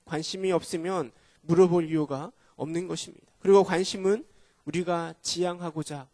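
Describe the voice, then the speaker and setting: A male pastor preaching a sermon at a church prayer meeting, hoarse from a cold, earnest and putting rhetorical questions to the congregation. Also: a little high, darkish tone, no echo.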